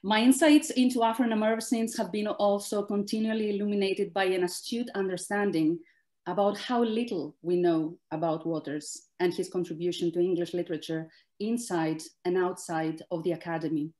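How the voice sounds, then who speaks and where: A woman's voice: on the low side, fairly even intonation, darkish tone. Greek-native woman giving a formal talk. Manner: measured and formal, with frequent "uh" fillers. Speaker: academic speaker. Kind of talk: prepared academic talk.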